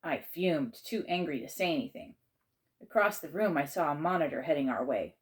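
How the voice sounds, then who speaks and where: A woman reading a children's chapter book aloud in measured, expressive narration. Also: low-pitched.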